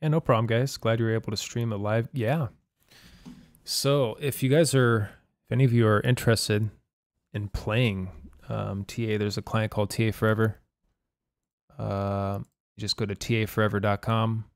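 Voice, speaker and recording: Tired streamer guy, voice shot and gravelly, lowish and dry, swears a bit. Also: steady tone, moderate pace, no echo. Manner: laid-back, swears casually, reads chat aloud. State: hoarse, losing his voice. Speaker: streamer and game caster. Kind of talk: casual live-stream chat. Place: home room at night.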